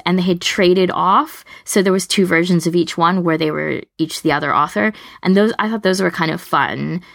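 Low-pitched woman chatting on a podcast. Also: vocal fry, a bit bright, no echo, clean studio sound. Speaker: podcast co-host. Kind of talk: casual podcast discussion.

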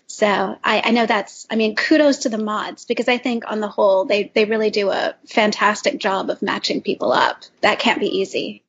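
A woman's voice: medium pitch, breathy edge, lo-fi sound. A woman chatting informally and appreciatively on a podcast panel. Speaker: audiobook-style fan fiction reader on a panel. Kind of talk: casual chat.

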